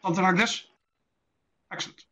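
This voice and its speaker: A man with a Dutch accent, a native Dutch speaker, presenting an online lecture in a measured, deliberate, didactic way. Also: sharp tone. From Netherlands. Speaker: presenter, intelligence specialist. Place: online presentation with screen share. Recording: dull lo-fi online call audio.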